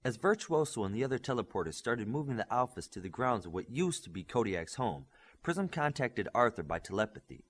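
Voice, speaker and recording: Animated male audiobook narrator. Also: mid-range voice, a little fast. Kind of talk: scripted fiction narration.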